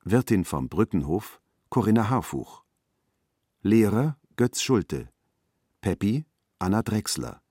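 A man's voice: on the low side, sing-song, slow pace, dark tone, breathy edge, no room sound, studio quality. A man reading out scripted programme credits as an announcer.

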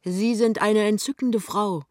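A woman narrator plays a boisterous, tipsy man in a novel. He is jovial and expansive, a breezy charmer who talks loudly and easily. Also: mid-range voice, fairly even intonation, measured pace, no room sound, clean studio sound, voice pushed up, lightly acted.

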